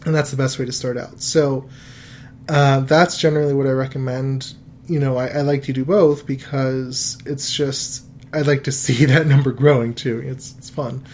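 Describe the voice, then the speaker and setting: A man in his thirties hosting a podcast, giving financial advice in a relaxed, rambling way full of fillers and restarts. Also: mid-range voice, moderate pace, slight background noise. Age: 30s. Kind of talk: casual podcast chat.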